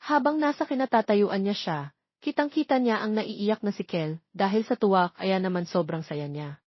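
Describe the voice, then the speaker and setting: A woman's voice: mid-range voice, moderate pace, no echo, highs cut off. A woman with a Filipino accent reading a scripted romance story as an audiobook narrator.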